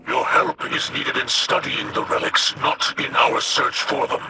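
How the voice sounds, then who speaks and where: A man playing a stern, honorable alien leader in a video game cutscene, speaking in a grave, formal and measured manner. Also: background noise, natural voice. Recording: loud music over the voice.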